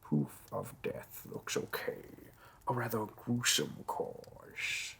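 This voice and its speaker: A man's voice: lowish voice, very animated, takes it slowly, vocal fry, a bit bright, slight background noise, exaggerated voice. A man voicing a wry, courteous demon bureaucrat conducting interviews in hell, speaking formally and dryly in a scripted roleplay audio. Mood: dryly pleased. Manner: dry, formal, politely officious. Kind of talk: scripted roleplay audio monologue addressed to the listener.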